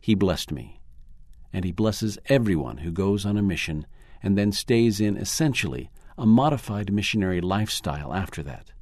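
A man reading a first-person article aloud in a measured, earnest way. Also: sits low, animated, a little mellow, no echo, studio quality. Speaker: narrator.

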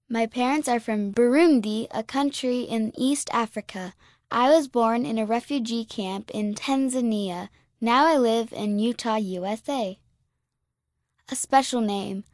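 A woman's voice: a little high, no room sound, studio quality. Woman reading a children's magazine aloud. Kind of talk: scripted narration.

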